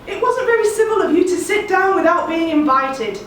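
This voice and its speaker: A woman's voice: very high-pitched, lively intonation, fairly quick, fairly bright tone, a little hiss or hum, pitched above the performer's own voice. A woman voicing a rude, contrary hare from a storybook tea party, curt and haughty. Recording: very echoey room, distant mic.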